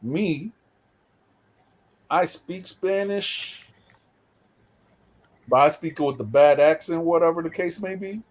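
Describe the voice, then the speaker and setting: A man's voice: a little high, slow pace, pauses often, a little hiss or hum. A man with a New York accent from the Bronx, hosting a casual chat and telling rambling stories with many fillers. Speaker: host.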